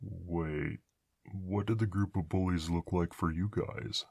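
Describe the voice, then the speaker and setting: A man playing a gentle, anxious young monster student in an audio roleplay, earnest and apologetic. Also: deep voice, steady tone, slow pace, creaky, slightly rough, dry recording, clean studio sound, full character voice. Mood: concerned.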